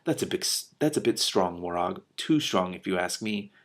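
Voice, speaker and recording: Man reading as a blustering old dragon, creaky voice. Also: low voice, lively intonation, moderate pace. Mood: indignant, blustering. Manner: blustery, old-fashioned exclamations. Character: indignant, blustering elderly male dragon.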